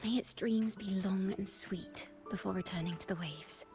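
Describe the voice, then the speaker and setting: A woman's voice: mid-range voice, moderate pace, lightly acted. A woman voicing a cheerful, poetic young female bard in a video game, with a theatrical, whimsical, lyrical delivery. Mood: wistful, gentle. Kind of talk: scripted video game voice acting. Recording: game music behind the voice. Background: background music.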